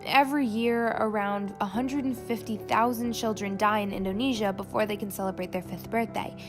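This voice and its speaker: A woman reading a scripted documentary narration in a steady, informative way. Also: mid-range voice, a little fast. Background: music.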